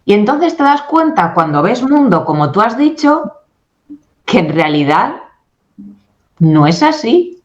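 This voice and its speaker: A woman in her forties from Spain talks as a radio interview guest, lively and animated, slipping into little acted-out dialogues. Her voice sits at a middle pitch, and the recording is somewhat dull and muffled. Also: unhurried, pauses often. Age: 40s. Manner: animated, acts out quoted dialogue. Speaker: guest, English communication coach.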